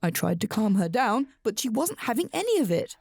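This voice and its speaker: A woman's voice: on the high side, big pitch swings, a little fast, darkish tone, breathy edge, no echo, studio quality, exaggerated voice. An actress playing a weary, firm mother of a difficult child in a radio drama.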